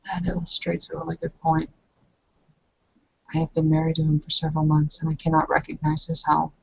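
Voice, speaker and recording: A woman playing a guarded, resigned young married woman in a roleplaying game, speaking in a formal, measured, stiffly controlled way. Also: deep voice, monotone, creaky, highs cut off, a little hiss or hum. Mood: resigned, frustrated.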